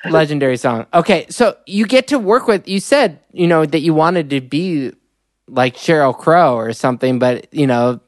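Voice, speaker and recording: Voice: mid-range voice, expressive, moderate pace, dry recording, clean studio sound. A podcast host asking casual interview questions, thinking aloud and circling through fillers before landing the question.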